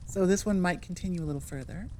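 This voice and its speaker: Woman, lowish mellow voice, casual creator chat, rambling, playful, self-correcting, faint background noise. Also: fast talker. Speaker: ASMR creator, channel host. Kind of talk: casual chat outro to listeners.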